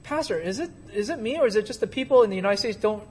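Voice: mid-range voice, expressive, very fast, darkish tone, slight background noise. An interpreter rendering a Chinese sermon into English line by line, hesitant at times. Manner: hesitant, with fillers and restarts while translating.

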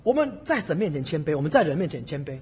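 A man preaching in Chinese and animatedly telling a story, pausing for an interpreter. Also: a little high, slightly rough. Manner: animated storytelling, acting out dialogue. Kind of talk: sermon in Chinese with consecutive interpretation.

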